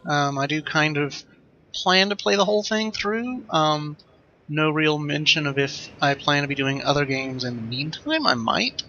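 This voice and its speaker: A man hosting a let's play with casual, improvised commentary, rambling and thinking out loud as he goes. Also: on the high side, normal speed, highs cut off.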